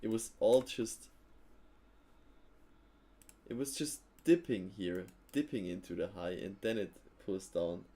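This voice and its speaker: A man with a fairly low voice hosts a live trading stream in a loose, chatty way. He thinks aloud, drops fillers, and chuckles easily while answering his viewers. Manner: relaxed, thinking aloud, frequent fillers and quick laughs.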